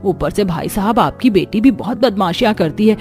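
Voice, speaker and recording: A woman's voice: medium pitch, expressive, moderate pace, soft background music, close to an everyday voice. Woman acting a nagging, spiteful cartoon aunt. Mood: falsely weary. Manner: nagging, sharp-tongued. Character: scolding, spiteful aunt who nags her niece.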